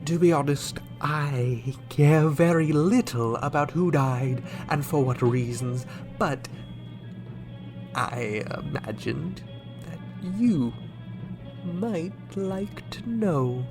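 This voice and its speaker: A man playing a scheming, coolly menacing alien ambassador in a sci-fi radio drama, formal, deliberate and insinuating. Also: a little high, music in the background. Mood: smugly menacing. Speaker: voice actor.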